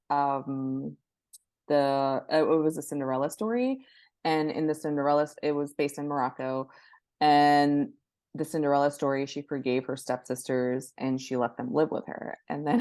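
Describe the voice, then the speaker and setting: A woman's voice: low-pitched, a little mellow, no echo. A woman answering interview questions casually as a podcast guest, sharing advice and anecdotes and repeating words for emphasis. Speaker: podcast guest, book marketing professional.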